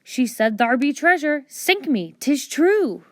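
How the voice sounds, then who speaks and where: A woman voicing a gruff, blustering male pirate captain with a stage pirate accent in a storybook. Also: high-pitched, sing-song, takes it slowly, fairly bright tone, no echo, clean studio sound, voice pushed up, heavily acted. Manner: barking, snarling, boastful.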